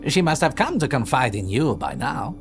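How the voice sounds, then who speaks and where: A man voicing a brooding, protective male vampire lord in an audio drama, smooth and lilting, sinking into low menacing murmurs. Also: very animated, moderate pace. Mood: calm, scheming. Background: soft background music.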